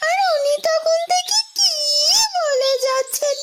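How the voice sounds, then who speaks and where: A woman's voice: very high-pitched, slow pace, voice pushed up. A woman voicing a small, anxious female golden bird in a fairy-tale cartoon, pleading plaintively and urgently. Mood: worried, frustrated.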